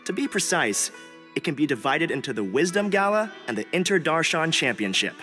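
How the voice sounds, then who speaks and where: A polite young scholar from a video game, with a bright, fairly high voice. He explains things formally and earnestly in lively intonation, with a long reverb around him. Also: fairly quick. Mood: polite, informative. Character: courteous, earnest young male academic festival organizer. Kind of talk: video game character acting. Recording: long reverb, music behind.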